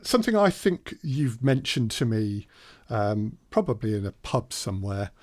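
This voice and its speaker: A man's voice: on the low side, very animated, normal speed, a little mellow, no echo, clean studio sound. A man co-hosting a conversational workshop, talking discursively and building up to his questions. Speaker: workshop co-host and interviewer.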